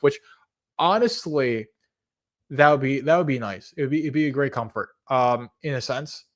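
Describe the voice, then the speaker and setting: A man, hosting a live stream in casual chat, rambling and hesitant with many fillers and restarts, earnest and softly amused as he answers chat. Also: mid-range voice. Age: 20s. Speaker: livestream host.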